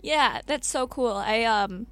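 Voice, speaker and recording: A woman's voice: a little high, takes it slowly, a bit bright, no room sound. Chatty woman hosting a podcast. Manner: friendly, chatty, filler-heavy questions. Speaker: podcast host. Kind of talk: casual interview.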